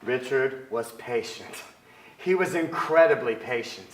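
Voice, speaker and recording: A man's voice: medium pitch, animated, a little slow, slight background noise, lightly acted. A man in his forties playing a sensitive, yearning writer confessing boyhood feelings in a theatrical staged reading on a large stage. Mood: yearning confession. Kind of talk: theatrical literary narration. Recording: echoey theater stage sound.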